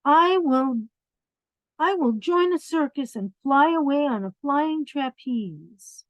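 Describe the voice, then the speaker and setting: A woman's voice: high voice, animated, takes it slowly, dry recording, voice pushed up, rough recording. A woman plays a little bunny from a picture book, playful and set on having its own way. The lines are simple storybook declarations, heard in a dry, close sound. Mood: defiant. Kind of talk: children's picture book read-aloud.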